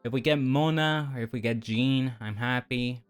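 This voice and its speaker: A man's voice: medium pitch, normal speed, clean tone, faint music behind. A man streaming a game, chatting casually to viewers in a rambling, geeky, slangy way. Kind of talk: casual livestream chat to viewers while playing.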